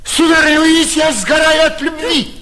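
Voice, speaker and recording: High-pitched gushing young suitor. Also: male voice, little pitch movement, fairly quick, sharp tone. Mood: ardent. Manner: ardent, florid, pleading declarations. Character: flighty young gentleman impostor, gushing theatrical suitor. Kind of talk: classic stage comedy acting, radio play. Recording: vintage 1940s radio theatre recording.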